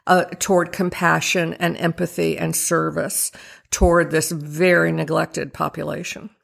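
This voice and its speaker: Woman, 60s, author guest on radio; low, gravelly, hesitant. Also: flat delivery, measured pace. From Virginia. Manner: thoughtful, hesitant with many fillers. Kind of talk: radio interview.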